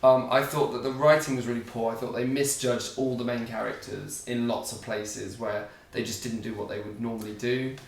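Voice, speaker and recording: Halting, critical man in a roomy room. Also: mid-range voice, flat delivery, gravelly. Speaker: reaction video co-host. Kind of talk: casual chat. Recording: roomy sound from a distant mic.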